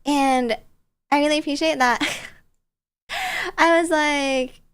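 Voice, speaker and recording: A woman's voice: high-pitched, animated, takes it slowly, a bit bright. A woman streamer telling stories casually in a bubbly, playful, cutesy way. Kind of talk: casual storytelling chat on a livestream.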